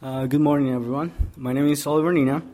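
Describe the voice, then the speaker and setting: A man's voice: fairly high pitch, fairly even intonation, fast talker, dark tone. A young man from Peru, a graduate student, giving a technical conference presentation with many hesitations, explaining step by step.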